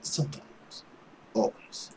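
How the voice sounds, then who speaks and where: A soft, breathy visual novel character, acted out by a man: a withdrawn, melancholy male orphan. He speaks gently and quietly over clear guitar music. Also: slow pace, close to an everyday voice. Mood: melancholy, hesitant.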